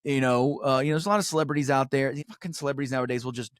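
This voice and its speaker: A man's voice: mid-range voice, steady tone, racing, dry recording, studio quality. A man chatting casually as a podcast guest, effusive and rambling, with some swearing. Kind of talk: casual chat.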